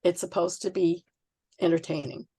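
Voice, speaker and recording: A woman author being interviewed, answering conversationally in a reflective, halting way as she searches for words. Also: fairly low pitch, fairly even intonation, warm tone, slightly rough, dry recording.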